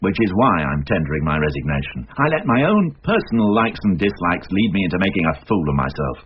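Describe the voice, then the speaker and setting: An actor playing a weary, self-reproaching police inspector in a radio crime drama. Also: male voice, deep voice, big pitch swings, quick pace. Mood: remorseful. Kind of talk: British radio drama acting. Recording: muffled, noisy radio drama recording.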